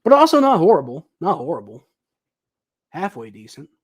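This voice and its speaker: A young man doing casual live football commentary, thinking out loud with lots of ums and uhs, his voice a little dry and raspy. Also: a little high, huge pitch swings, moderate pace. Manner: rambling, thinking aloud with many ums and uhs. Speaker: livestream host commentating football.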